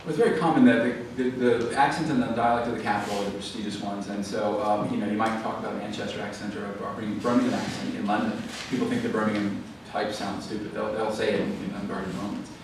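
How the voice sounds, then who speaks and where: A man's voice: fairly low pitch, fairly even intonation, quick pace. A man, an author at a library event, answering audience questions in a thoughtful, explanatory way with frequent fillers and restarts. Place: library event hall, recorded from the room. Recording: echoey hall, far from the mic, audible room noise.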